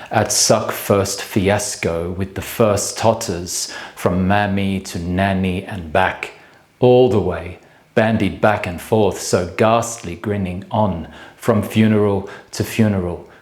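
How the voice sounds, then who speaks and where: An actor playing a bleak, solitary man brooding on death in a theatrical monologue, speaking in grave, halting fragments. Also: low-pitched, darkish tone. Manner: halting, clipped fragments with measured pauses. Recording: echoey room sound.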